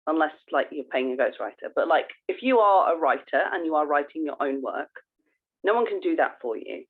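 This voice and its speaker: A woman co-hosting a podcast, chatting informally and explaining things with halting emphasis. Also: low-pitched, expressive, breathy edge. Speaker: podcast co-host. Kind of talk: casual podcast chat. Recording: thin phone-like call audio.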